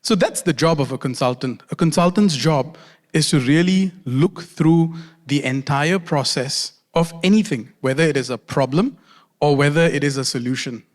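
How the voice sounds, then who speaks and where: A man from Singapore speaks on stage at a conference, a slightly high voice ringing through a large hall with a long reverb tail. He is friendly and self-deprecating, and he repeats his key phrases slowly for emphasis. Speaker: conference speaker, doctor and consultant. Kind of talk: conference talk.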